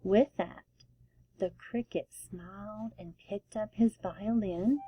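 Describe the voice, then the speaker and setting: A woman storyteller giving an expressive read-aloud of a children's book with deliberate, emphatic phrasing. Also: medium pitch, husky, no room sound, highs cut off.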